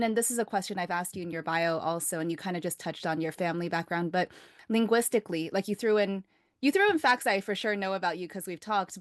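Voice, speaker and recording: A woman hosting a podcast, reading a thoughtful, earnest introduction before moving into casual interview questions with frequent listening "mm"s. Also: mid-range voice. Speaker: podcast host.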